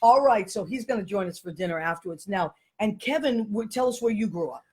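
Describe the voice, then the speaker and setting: A woman's voice: medium pitch, animated, brisk, a little mellow, breathy edge. A woman hosting a live online talk show, chatting with guests and greeting viewers as they join. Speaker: host. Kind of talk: casual live interview chat.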